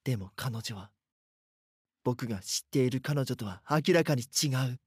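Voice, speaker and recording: Casting: actor as timid young man, mid-pitched and husky, hesitant first-person narrator of a tense audio drama. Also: expressive, normal speed, no room sound, studio quality. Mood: bewildered dread. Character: timid young man, frightened captive narrating his ordeal.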